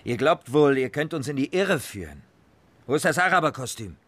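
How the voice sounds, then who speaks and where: Radio play: a sardonic interrogating sergeant, commanding, lively intonation, close dry sound. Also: male voice, mid-range voice, moderate pace, dry recording. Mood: stern, sarcastic. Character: bullying, sarcastic police sergeant interrogating suspects. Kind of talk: radio crime drama acting.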